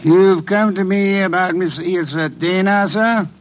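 A man playing an imperious, lazy, middle-aged detective in an old-time radio drama, speaking formally and deliberately. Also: sits high. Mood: coolly probing. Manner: pompous, formal, deliberate diction.

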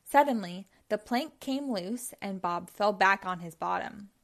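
A woman reading a story aloud for young children in a deliberate storytelling style. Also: medium pitch, animated.

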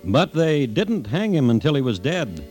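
Male storyteller host, acted by a man, 1950s radio crime drama, measured literary delivery, clear music bed. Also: mid-range voice, very animated, a little mellow, close to an everyday voice. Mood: grave, wry. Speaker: host and narrator.

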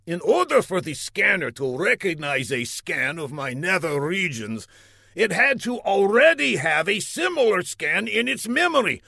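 A man's voice: high-pitched, very animated, normal speed, creaky, pushed and bright, no echo, studio quality, pitched above the performer's own voice, full character voice. A man voicing a pompous, hot-tempered villain boss who barks threats in an imperious, exasperated way in a comic audiobook. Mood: indignant.

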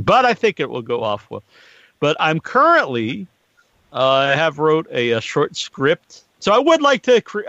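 A middle-aged man hosting a podcast in casual, joking chat, talking in a rambling, halting way with crude humour. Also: medium pitch, creaky, a bit bright, no echo. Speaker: podcast host. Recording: muffled lo-fi online stream audio.

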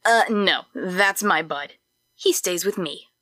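A woman's voice: fairly low pitch, slow, a bit bright, dry recording, studio quality. A woman voicing a small, grumpy, bossy plant creature with a snippy manner in a comedic fantasy audio drama. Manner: snippy, impatient, boastful.

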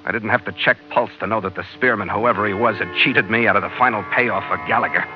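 An actor playing a tough, weary adventurer skipper in a hard-boiled old-time radio drama, with clipped delivery. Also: male voice, on the low side, steady tone, fast talker, over music, close to an everyday voice. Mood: hard-boiled narration. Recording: dull, lo-fi old radio recording.

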